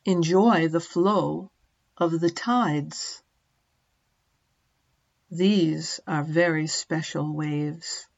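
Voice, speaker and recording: A middle-aged woman narrating a guided meditation as a teacher, gently and in short measured phrases with long pauses. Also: low-pitched, creaky, dull audio.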